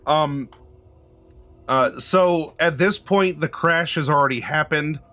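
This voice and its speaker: A man with a squeaky voice narrating a tabletop game as game master, halting and often clearing his throat. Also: sharp tone, soft background music.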